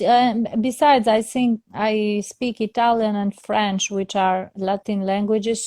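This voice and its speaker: A woman speaking English as a second language, slow and careful, stopping often for fillers and restarts. She talks at an unhurried pace in a dry, close recording with no room sound. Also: medium pitch, no room sound. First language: not English. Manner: hesitant, many fillers and restarts, laughs softly. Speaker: soprano opera singer, interview guest. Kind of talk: casual interview conversation in English.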